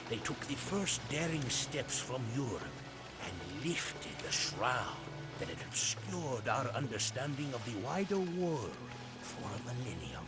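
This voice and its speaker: Solemn, sweeping storybook soldier-chronicler over music. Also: male voice, medium pitch. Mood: grand, awed. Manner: solemn, theatrical, admiring storyteller. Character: loyal Portuguese soldier recounting his captain's campaigns. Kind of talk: dramatic storybook cutscene narration. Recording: studio narration under loud music.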